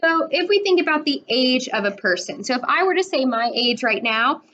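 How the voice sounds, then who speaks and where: Woman in her twenties, math teacher, bright higher voice, step-by-step explaining, dull lo-fi sound. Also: lively intonation, a little fast, a little hiss or hum. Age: 20s. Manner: explains step by step, checks in with frequent "right?". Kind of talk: recorded classroom lesson. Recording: dull lo-fi recording.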